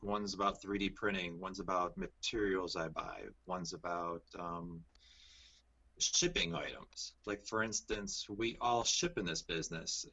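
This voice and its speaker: A man's voice: sits low, bright, forceful tone, slightly rough, muffled recording. A man appearing as a guest over a video call, easygoing, filling his talk with "like" and "you know". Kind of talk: casual video-call chat.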